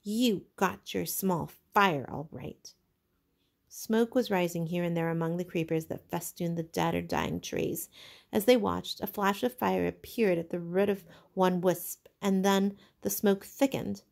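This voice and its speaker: A woman reads literary fiction aloud as a teacher would. Her voice has plenty of vocal fry, and her narration is expressive and dramatic. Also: fairly low pitch, normal speed. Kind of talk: scripted storybook narration.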